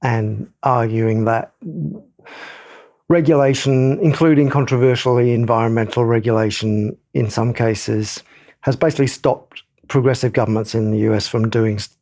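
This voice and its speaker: A man with a marked vocal fry and an average-pitched voice speaks as a podcast panellist. His analysis is conversational, measured and explanatory. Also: steady tone, darkish tone. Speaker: journalist panellist on a news podcast.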